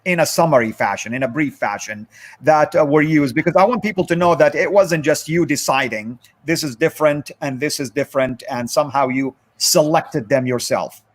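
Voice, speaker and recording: A man hosting a moderated religious discussion, speaking in halting, deliberate phrases. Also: fairly high pitch. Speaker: livestream host.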